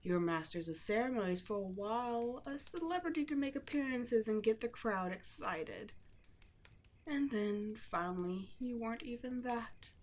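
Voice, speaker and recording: A woman playing a seductive, condescending demon queen in a scripted ASMR roleplay, gloating at the listener and dropping at times into breathy whispers. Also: fairly high pitch, expressive, a little mellow, slight background noise, lightly acted. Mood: mocking pity. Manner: slow, taunting, condescending, drops into breathy whispers.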